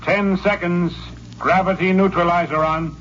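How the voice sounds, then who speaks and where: A man playing an impassive, clipped space traffic controller in a 1950s radio drama, measured and procedural. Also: sits high, fairly even intonation, a bit bright, soft background music. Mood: impassive, official. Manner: slow, measured, procedural calling. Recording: muffled old radio recording, faint noise.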